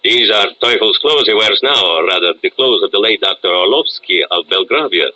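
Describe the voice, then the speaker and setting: An actor playing a stern, suspicious Soviet captain in a radio drama, formal and commanding. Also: male voice, lowish voice, animated, fairly quick, pushed and bright, dull audio, exaggerated voice. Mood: stern, accusing. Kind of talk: old-time radio drama acting.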